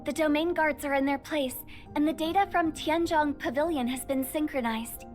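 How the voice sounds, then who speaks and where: A woman's voice: sits high, close to an everyday voice. A woman playing a polite, formal young official giving earnest briefings in a video game, with music behind her. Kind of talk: scripted game dialogue. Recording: game dialogue over background music.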